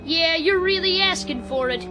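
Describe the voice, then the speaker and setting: A woman's voice: very high voice, normal speed, over music. A woman playing a bossy, insolent neighborhood boy in a radio drama, brash and bullying. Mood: brash, scheming.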